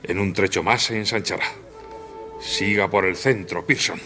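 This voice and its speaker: An actor playing a sober, learned male surgeon with a measured, didactic manner in a radio play. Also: sits low, sharp tone, faint music behind. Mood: measured, explanatory. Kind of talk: radio drama acting.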